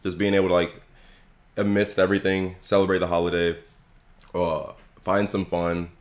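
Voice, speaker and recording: A man co-hosting a casual podcast, relaxed and reflective, talking things through at length. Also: low voice, normal speed. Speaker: podcast co-host. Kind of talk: casual chat.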